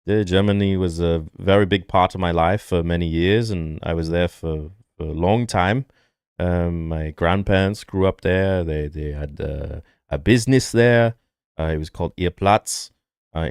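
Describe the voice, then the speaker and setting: A man's voice: sits low, darkish tone, clean tone, no room sound, studio quality. A man hosting a reaction video, chatting casually in a rambling way with lots of fillers.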